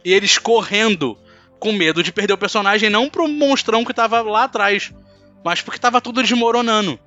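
A man's voice: high voice, brisk, bright, forceful tone. A Brazilian man hosting a casual podcast, telling stories in a colloquial, emphatic way. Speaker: podcast host. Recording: muffled mic, faint music bed.

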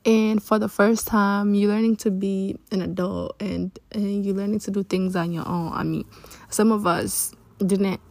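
A young woman in her early twenties chats on her solo podcast in a loose, rambling way, often restarting mid-thought. Her voice is gravelly with heavy vocal fry, lower than her usual after a long day awake. The sound is close and dry. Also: steady tone, a little slow, a little mellow, dry recording. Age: early 20s. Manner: rambling, chatty, many restarts. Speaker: podcast host. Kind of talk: casual solo podcast chat.

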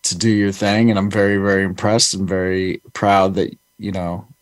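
A man chatting casually as co-host, halting and hesitant with many pauses and "uh". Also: deep voice, flat delivery, no room sound. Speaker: co-host, host's husband. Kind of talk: casual chat.

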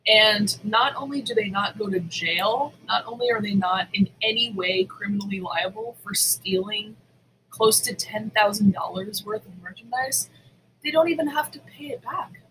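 A woman's voice: mid-range voice, normal speed, pushed and bright, a little hiss or hum, poor audio. A woman co-hosting a casual chat, speaking emphatically and indignantly, swearing freely and repeating words for stress. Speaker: podcast co-host.

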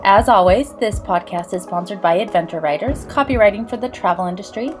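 A woman's voice: mid-range voice, fairly quick, a little mellow, faint music behind. A woman speaking as a podcast host in a casual, chatty interview style.

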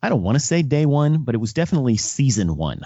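Animated, husky man hosting a podcast. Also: medium pitch, no echo, muffled recording. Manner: halting, repeats words, informal. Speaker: podcast interviewer, host. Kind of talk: casual chat interview.

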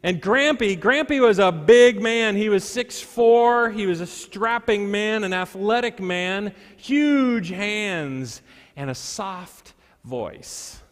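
A male pastor preaching a sermon, reading scripture and telling stories with deliberate, dramatic pauses and emphasis. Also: high-pitched, lively intonation. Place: large church sanctuary. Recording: echoey hall with long reverb.

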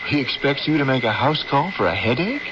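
An actor playing an earnest young doctor fresh out of medical school in a radio drama, polite, mild and tentative. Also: male voice, medium pitch, big pitch swings, brisk, natural voice. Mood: tentative, concerned. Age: young adult. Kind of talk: old-time radio drama acting. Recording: noisy, muffled old radio recording.

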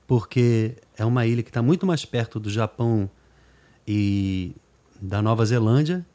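A man's voice: lowish voice, measured pace, mellow. A man interviewing a guest in a chatty, rambling way, often checking in with tag questions. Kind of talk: interview conversation.

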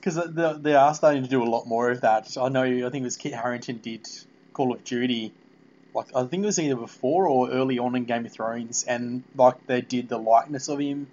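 A man hosting a casual podcast interview, talking in a halting, meandering way. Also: mid-range voice, darkish tone. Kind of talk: casual fan interview chat. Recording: dull, lo-fi podcast audio.

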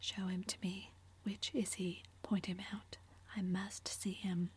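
A woman's voice: medium pitch, little pitch movement, unhurried, a little hiss or hum, natural voice. A woman whispering the part of a sullen, protective sixteen-year-old brother in a storybook reading. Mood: fierce.

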